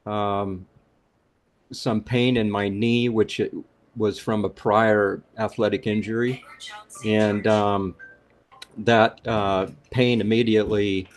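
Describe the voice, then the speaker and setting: A man telling his testimonial on a video call, halting, with frequent restarts and fillers. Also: on the low side, darkish tone. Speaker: caller sharing a testimonial.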